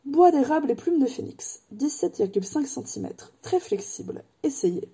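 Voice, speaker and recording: A woman's voice: high-pitched, expressive, normal speed, dark tone, voice pushed up. A woman doing an eerie old wand maker. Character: eccentric old male wand maker, intense. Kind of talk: audiobook character voice.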